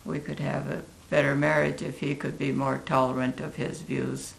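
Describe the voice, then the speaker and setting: An elderly American woman in her eighties recalling her life in a reflective interview, halting and thoughtful, with a gravelly, creaky voice. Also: very deep voice, little pitch movement, a little hiss or hum, low-quality recording. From Oregon, United States. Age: 80s. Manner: halting, deliberate, thoughtful pauses. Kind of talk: reflective oral history interview.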